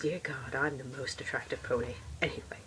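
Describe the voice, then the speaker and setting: A woman voicing a stuffy, horse-loving male troll who reads a storybook aloud in a formal, pompous way, with muttered, exasperated asides and a husky voice. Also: rumbling low, fairly even intonation, a little fast, a bit bright, a little hiss or hum, voice pushed down. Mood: earnest, muttering.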